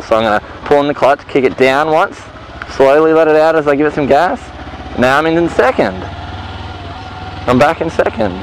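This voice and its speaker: A man teaching motorcycle gear changes while riding slowly around an outdoor car park, talking casually through a helmet mic with lots of fillers and restarts. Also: on the high side. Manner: relaxed, rambling, many fillers and restarts. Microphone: helmet-mounted mic with visor down. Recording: muffled helmet echo with engine noise.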